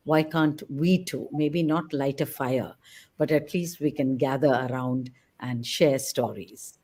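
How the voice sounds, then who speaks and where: A woman's voice: low voice, a little mellow. A woman hosting a radio interview, effusive and full of praise, with many fillers. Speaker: radio show host. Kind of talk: interview talk.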